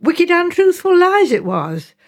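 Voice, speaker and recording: A woman performs a talkative, working-class village mother from a storybook. Her pitch leaps about as she rambles on with indignant insistence. She stays respectful, full of deferential address, yet will not be interrupted. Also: very animated, measured pace, darkish tone, heavily acted. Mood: indignant, insistent. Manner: rambling, deferential, repeating "sir", ungrammatical rustic speech. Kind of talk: audiobook character dialogue.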